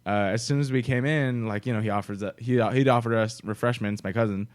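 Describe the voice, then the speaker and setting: A man's voice: mid-range voice, fairly even intonation, quick pace. A young man co-hosting a podcast, chatting casually and telling stories with frequent laughs. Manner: chatty storyteller, laughs often. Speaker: podcast co-host. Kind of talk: casual chat.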